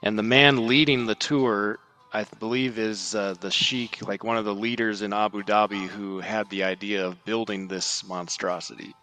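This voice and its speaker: A man's voice: on the low side, steady tone, moderate pace, sharp tone, soft background music. A man speaking as a podcast guest, quoting and explaining religious matters in an earnest, disapproving manner.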